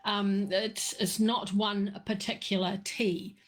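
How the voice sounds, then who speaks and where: A middle-aged woman hosting a live chat about tea, talking slowly with lots of fillers, her voice a little croaky. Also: medium pitch, little pitch movement. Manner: slow, meandering, many fillers and restarts. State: slightly croaky. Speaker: livestream host answering viewers.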